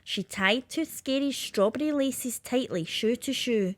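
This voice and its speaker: A woman's voice: fairly high pitch, unhurried, no room sound. A woman with a lilting, sing-song delivery, reading a rhyming children's story aloud.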